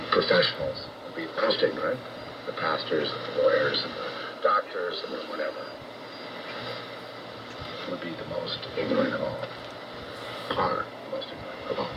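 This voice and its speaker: An American man preaching on shortwave radio in a blunt, confrontational, profane rant, halting and repeating himself. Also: rumbling low. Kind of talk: unscripted ranting sermon. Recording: noisy muffled shortwave radio broadcast.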